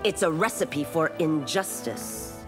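Stern warrior woman, animated pitch. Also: slow. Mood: accusing. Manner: solemn, accusing. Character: stern, righteous female warrior condemning evil. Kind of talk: fighting game character dialogue. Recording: game music behind the voice.

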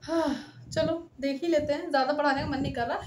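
High-voiced woman teacher in an echoey room. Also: brisk. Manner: talks straight to students, coaxing and checking in. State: voice tiring and going hoarse. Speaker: chemistry teacher. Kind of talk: classroom lecture at a whiteboard. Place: small indoor room by a whiteboard. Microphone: headset. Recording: echoey room with steady background noise.

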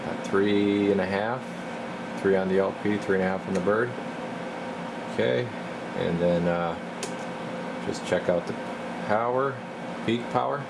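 A man's voice: low voice, unhurried, gravelly, pauses often. A man casually narrating a hands-on radio demonstration, talking offhandedly to himself as he works. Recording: steady loud equipment and room hum.

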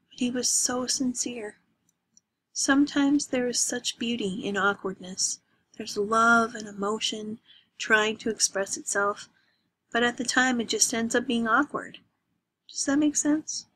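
Gentle middle-aged mother, voiced by a woman, soft, hushed, tender. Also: a little high, measured pace, slight background noise, voice pushed up, low-quality recording.

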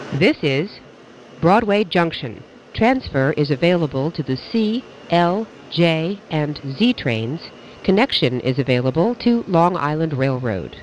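A train announcer reading scripted transit announcements in a clipped, measured, formal way. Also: medium pitch. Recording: dull lo-fi audio with clear background noise.

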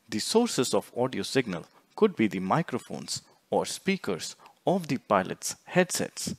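A man reading scripted instructional narration in a measured, explanatory way, phrase by phrase. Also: medium pitch, slightly rough, dry recording. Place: close, dry voice-over recording.

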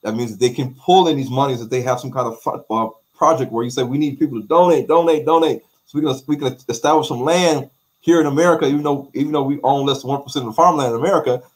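A man's voice: mid-range voice, fast talker, rough recording. A middle-aged man as an interview guest on a video call, talking emphatically with repeated words and rhetorical questions. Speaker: documentary maker, guest. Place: bright room with light walls.

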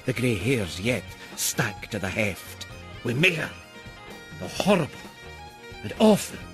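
Guy reading a poem, bit high voice, big loud bits then whispers, music under it. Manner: theatrical, swinging from loud to hushed whispers. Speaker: poetry reader. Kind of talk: dramatic poetry recitation.

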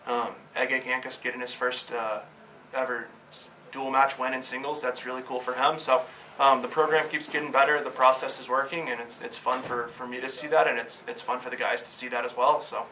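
Male coach, 30s, brisk and bright, steady pitch, distant mic in a press room. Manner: quick, upbeat, fluent with fillers. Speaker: head coach. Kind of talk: post-game press interview. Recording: slightly distant, faint room noise.

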